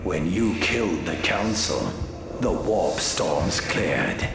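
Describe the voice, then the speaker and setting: Casting: smug, sinister game villain, slow and taunting, theatrical relish, set against loud music. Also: male voice, deep voice, big pitch swings, vocal fry, exaggerated voice. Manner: theatrical, taunting, savouring each phrase. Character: smug, sinister traitor warlord savouring doom. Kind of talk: video game cutscene villain acting. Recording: echoing voice under loud music. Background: loud dramatic music.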